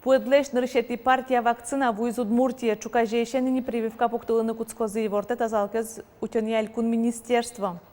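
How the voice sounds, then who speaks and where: A woman reading the regional news as an anchor in a steady, scripted delivery. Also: on the high side. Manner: steady, even newsreader delivery. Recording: long reverb, faint noise.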